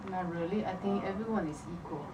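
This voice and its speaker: A woman's voice: on the low side, fast talker, dull audio. Woman, 50s, brief wry interviewee, distant mic. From Taiwan. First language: Mandarin. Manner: brief, good-humoured answers. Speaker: interviewee, the host's mother. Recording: echoey room, distant mic, clear hiss.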